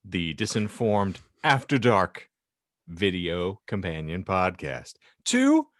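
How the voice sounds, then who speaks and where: Deep-voiced, animated American man hosting. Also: slow, no room sound, clean studio sound. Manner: wordy, playful, mock-formal delivery. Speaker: podcast host. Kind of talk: jokey hosting of a video podcast.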